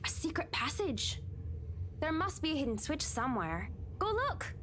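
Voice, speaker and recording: A woman's voice: sits high, very animated, moderate pace, a bit bright, slight background noise. A woman voicing a taunting, playful yet menacing young female ghost in a horror visual novel game, with a teasing, theatrical delivery. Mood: teasing, daring.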